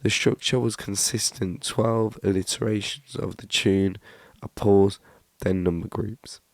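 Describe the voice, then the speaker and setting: A British man, the channel's host, reading a scripted documentary narration in a measured, hushed way that drops to a whisper at times. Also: fairly low pitch, steady tone, dry recording.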